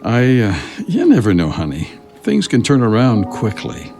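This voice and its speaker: An actor playing a gentle, consoling old grandfather in a children's audio drama, with a gravelly voice. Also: medium pitch, wildly swooping pitch, normal speed, faint music behind.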